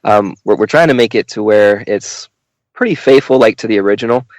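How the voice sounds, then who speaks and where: Low-pitched male interview guest, dry sound. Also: fairly quick, no room sound, rough recording. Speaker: interview guest, audio drama director. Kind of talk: casual explanatory interview talk.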